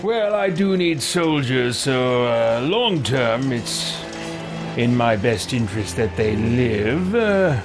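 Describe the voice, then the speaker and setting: A man's voice: mid-range voice, lively intonation, takes it slowly. A man giving roleplay game commentary, thinking aloud with hesitant musing and haughty in-character remarks. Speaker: gaming roleplay video creator. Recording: game music behind the voice. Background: video game music.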